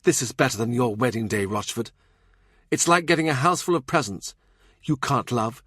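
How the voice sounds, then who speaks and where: A man voicing a hot-tempered, haughty young noblewoman in an audiobook, with sharp, emphatic delivery. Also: medium pitch, moderate pace, husky, no echo, clean studio sound, pitched above the performer's own voice. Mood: scornful.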